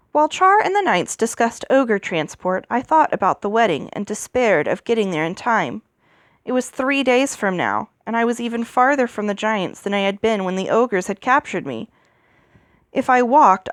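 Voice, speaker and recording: Female amateur audiobook reader, fantasy fiction. Animated, expressive delivery, very dry sound. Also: mid-range voice, dry recording. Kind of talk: reading a fantasy novel aloud.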